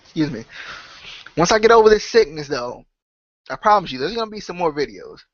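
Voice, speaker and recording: A man's voice: high voice, very animated, brisk, a bit bright, no echo, dull audio. Stuffed-up man rambling on a podcast. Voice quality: nasal, congested. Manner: rambling, many fillers and restarts. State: stuffed up with a cold. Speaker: podcast host. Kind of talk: casual solo chat to subscribers.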